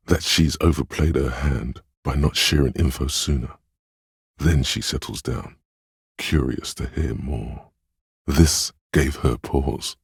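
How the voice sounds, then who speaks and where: A perceptive inner voice of empathy in a narrative game. The voice is profoundly deep and very husky, with a velvety weight, recorded close and dry. It narrates with solemn, intimate compassion in measured phrases. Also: male voice, no room sound, exaggerated voice. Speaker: game voice actor. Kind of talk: scripted second-person game narration.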